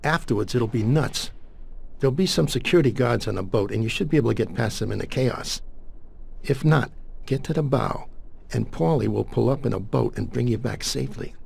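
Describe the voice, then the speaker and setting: A man acting a cool, streetwise mob consigliere in a video game, briefing in slang with a raspy, gravelly voice. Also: mid-range voice, a little fast, darkish tone. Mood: calm, instructing.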